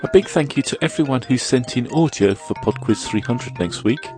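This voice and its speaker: A man hosting an audio quiz podcast, reading the questions in a measured way, his voice a little croaky from a cold. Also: mid-range voice, lively intonation, music in the background. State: has a cold, slightly croaky. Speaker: quiz host.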